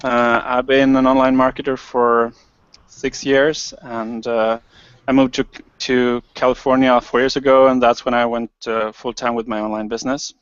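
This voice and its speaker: A man's voice: mid-range voice, level tone, moderate pace, clear, resonant tone, dry recording. A man from Sweden speaks on a video call in a level, unvaried tone, the sound dry and close. The audio is dull and lo-fi, like an online call. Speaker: online marketer, panel host. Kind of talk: casual video call panel talk.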